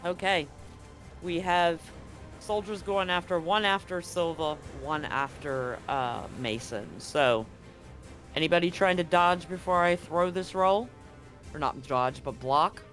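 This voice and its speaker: Male game master, fairly high pitch, casual, sweary, live tabletop game narration, faint background music. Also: a little slow, a bit bright.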